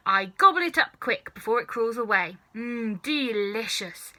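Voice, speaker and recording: A woman playing a snappish, sinister old grandmother, bright-voiced. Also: a little high, expressive. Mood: gleeful relish. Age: older. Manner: snapping, commanding, drawn-out relish on key words. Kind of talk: children's storybook character reading.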